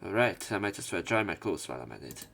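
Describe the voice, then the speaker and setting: A man's voice: deep voice, big pitch swings, fast, vocal fry, fairly bright tone, faint music behind. A man reading a visual novel aloud as a let's player, acting out the dialogue expressively. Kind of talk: dramatic read-aloud narration of a visual novel.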